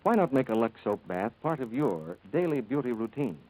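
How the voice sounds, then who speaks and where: Animated 1940s radio announcer man. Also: medium pitch, normal speed, low-quality recording. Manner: polished, smooth salesmanship. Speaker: radio announcer and soap pitchman.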